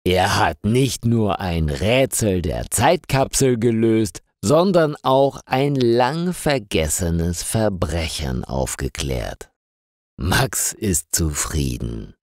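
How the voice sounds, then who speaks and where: Deep, slow-reading male storyteller. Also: dry recording, studio quality. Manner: slow, carefully articulated reading with lively intonation. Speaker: storyteller for language learners. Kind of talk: scripted story narration.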